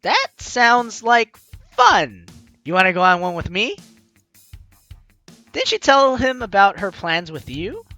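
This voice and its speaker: A woman's voice: medium pitch, wildly swooping pitch, slow, pauses often, sharp tone, soft background music. A woman hosting a gaming video, reading game text aloud with playful, theatrical asides and casual commentary.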